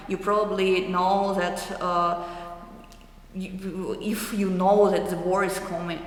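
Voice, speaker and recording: A young adult woman from eastern Ukraine with a Ukrainian accent, answering interview questions thoughtfully with many hesitant pauses. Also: lowish voice, fairly bright tone. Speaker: artist interviewee. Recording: roomy hall with long echo.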